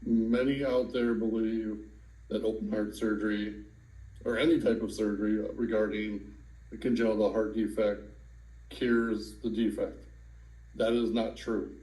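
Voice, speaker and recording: Slow, low-voiced man in his thirties. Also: echoey room, poor audio. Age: 30s. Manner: slow, calm, with fillers. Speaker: adult with congenital heart disease. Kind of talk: personal testimonial.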